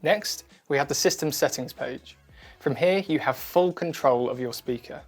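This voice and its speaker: A man presenting a scripted product demo in a clear, methodical, instructional manner. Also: mid-range voice, moderate pace. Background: background music.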